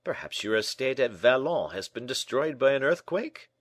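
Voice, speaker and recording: A man voicing a shrewd, brisk gentleman in an audiobook, animated, questioning and a little impatient. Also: medium pitch, no room sound, clean studio sound, pitched above the performer's own voice. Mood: teasing concern. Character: D'Artagnan, brisk, shrewd, exclaiming gentleman friend. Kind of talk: audiobook character acting.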